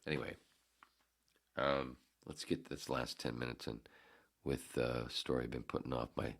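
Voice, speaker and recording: A man's voice: extremely low pitch, steady tone, normal speed. A man hosting a solo podcast, talking in a rambling, profane, self-deprecating way. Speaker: podcast host. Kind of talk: casual solo podcast monologue.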